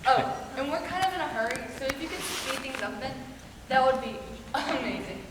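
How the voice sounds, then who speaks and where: A woman's voice: high voice, expressive, brisk. A woman playing an airheaded, impatient fashion model with a breezy, ditzy manner. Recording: echoey hall with background noise.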